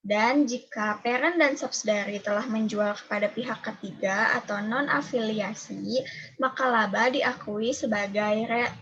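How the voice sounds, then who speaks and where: A young woman giving an explanatory student presentation in a halting way. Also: a little high, a bit bright, husky. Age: young adult. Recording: dull, lo-fi microphone sound.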